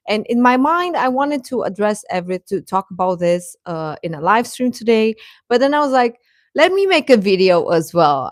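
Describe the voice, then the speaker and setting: A woman's voice: mid-range voice, no room sound, studio quality. A woman hosting a livestream, chatting casually and informally with her viewers. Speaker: livestream host.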